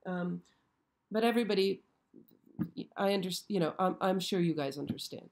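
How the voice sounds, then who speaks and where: Hoarse lady hosting a live stream, chatty, keeps checking in w/ viewers. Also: on the low side, moderate pace, a little mellow. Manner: chatty, frequently checks in with "okay?". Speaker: show host. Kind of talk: casual live-stream chat. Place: show set.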